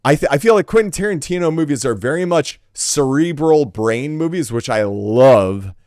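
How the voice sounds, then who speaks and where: A man's voice: a little high, lively intonation, normal speed, a little mellow, no room sound, clean studio sound. A man co-hosting a podcast in casual discussion, talking reflectively and hedging as he builds careful arguments. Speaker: podcast host.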